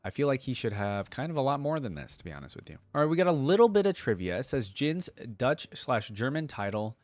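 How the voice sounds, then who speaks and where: A man's voice: mid-range voice, sing-song, fast talker, dry recording. A man hosting a reaction channel, chatting informally and thinking aloud as he weighs his opinions for viewers. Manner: informal, hesitant deliberating, addresses viewers directly. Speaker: host of a game reaction channel. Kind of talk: casual running commentary, thinking aloud.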